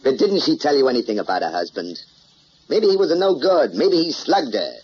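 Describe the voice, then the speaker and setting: An actor playing a gruff, domineering newspaper chief in an old crime drama, blunt and sarcastic. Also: male voice, mid-range voice, big pitch swings, brisk, natural voice. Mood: exasperated. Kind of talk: mid-century American crime drama acting. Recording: muffled, noisy old drama soundtrack.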